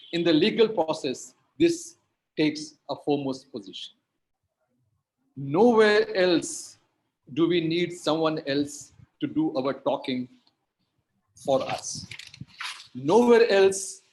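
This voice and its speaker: A man whose native tongue is Hindi and Urdu speaks at a panel like a lecturer. His voice sits a little high, and he stretches out stressed words and builds emphasis through repeated phrases. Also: low-quality recording. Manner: emphatic, rhetorical repetition, drawn-out stresses. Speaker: linguistics academic, panelist.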